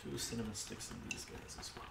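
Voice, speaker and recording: An older man hosting a cooking live stream in his home kitchen, chatting easily and narrating each step aloud. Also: fairly quick. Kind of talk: casual chat while cooking, reading chat. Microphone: camera mic some distance away. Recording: roomy, distant, noisy kitchen stream audio.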